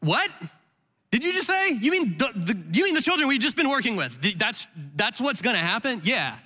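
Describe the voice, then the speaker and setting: Middle-aged male pastor, quick and bright, casual storyteller with restarts, big reverberant hall. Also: extremely high pitch, big pitch swings. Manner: conversational, rambling with restarts. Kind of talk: sermon storytelling. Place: large hall with audience.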